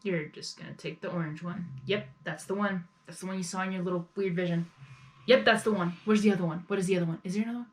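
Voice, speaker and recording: A woman giving a casual live reaction as host, excitable and thinking aloud in fragments. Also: on the low side, fast. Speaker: host reacting to an audio drama.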